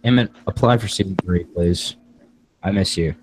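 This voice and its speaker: A man's voice: sits low, moderate pace, low-quality recording. A man speaking as a host in a casual group discussion, giving his commentary.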